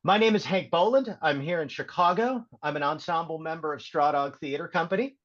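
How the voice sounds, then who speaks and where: Dull-sounding, higher-pitched male panel host. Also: fairly quick, fairly bright tone. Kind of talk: casual moderated livestream panel. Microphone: video call. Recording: dull, lo-fi call audio.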